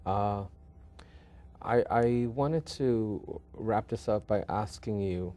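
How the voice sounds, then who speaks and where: A man hosting an interview show in a conversational way, phrasing his questions haltingly with many small acknowledgements. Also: fairly low pitch, warm tone. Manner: hesitant phrasing, frequent backchannels. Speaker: talk show host.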